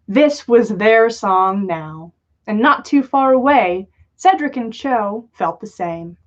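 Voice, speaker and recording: A woman's voice: mid-range voice, expressive, slow. A playful, giggly woman hosting a livestream, reading stories aloud and chatting casually. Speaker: podcast host reading listeners' stories aloud. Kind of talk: storytelling read-aloud with casual chat on a livestream. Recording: dull lo-fi livestream audio.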